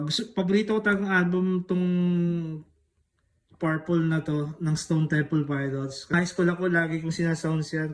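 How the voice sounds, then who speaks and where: A Filipino man talking casually in a laid-back way as a collector doing an unboxing vlog, switching between two languages. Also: on the high side. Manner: laid-back, mixes Tagalog and English. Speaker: music collector vlogger.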